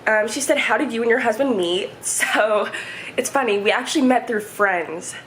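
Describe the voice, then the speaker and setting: Hoarse stuffy 20s girl rambling to her camera at home, kinda high voice. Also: moderate pace, fairly bright tone, a little hiss or hum, poor audio. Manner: rambling, chatty, many fillers. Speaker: vlogger. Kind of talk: casual home vlog chat. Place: home, indoors. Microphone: camera set up nearby.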